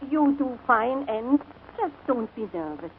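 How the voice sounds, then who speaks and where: A woman playing an outspoken, kindly but sharp-tongued servant in a 1940s radio comedy. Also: fairly high pitch, big pitch swings, a little slow. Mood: reassuring, tart. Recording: scratchy, narrow-band 1940s radio broadcast.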